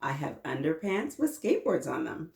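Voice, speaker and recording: Lively woman reading to children, roomy sound. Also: deep voice, moderate pace, gravelly. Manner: encouraging, asks the children many questions. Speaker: children's story time host and reader. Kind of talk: read-aloud storytelling with children, chatting along. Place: small children's playroom, indoors. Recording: roomy echo, mic at a little distance.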